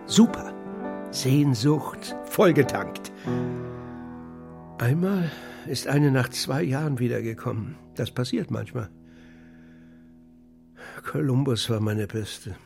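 An actor playing a lonely, rueful old pigeon keeper in a German children's radio play. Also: male voice, medium pitch, lively intonation, takes it slowly, gravelly, pauses often, music in the background. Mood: earnest, wistful. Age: older.

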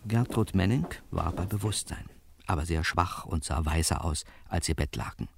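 An actor playing a detective's wry assistant, telling the case conversationally as narrator of a radio crime play. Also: male voice, low voice, normal speed, gravelly, noisy background. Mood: wry, storytelling. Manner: conversational, storytelling.

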